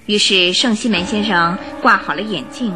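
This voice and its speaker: A woman reading scripted radio drama narration in an expressive storytelling manner. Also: medium pitch, normal speed, soft background music.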